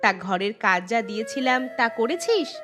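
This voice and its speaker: Woman as a cartoon village teacher lady, high voice, kindly but a bit strict, music under it. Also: big pitch swings, normal speed. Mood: concerned, chiding. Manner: questioning, gently chiding. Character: village schoolteacher woman, caring but mildly strict tutor. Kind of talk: Bengali cartoon dubbing.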